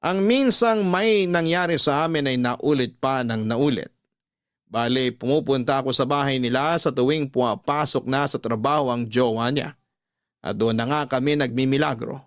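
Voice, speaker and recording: A man narrates as an unapologetic womanizer confessing in a radio drama. His voice is mid-pitched and deliberate, recorded very dry, with a brash edge to the storytelling. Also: no room sound, lightly acted. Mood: confiding.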